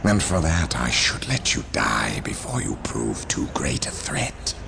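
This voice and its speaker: A man playing an arrogant, scheming, power-hungry sorcerer villain in a video game cutscene, with a gravelly, theatrical, taunting delivery. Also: very deep voice, big pitch swings, measured pace, heavy background noise, heavily acted. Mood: gloating, menacing. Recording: loud music underneath.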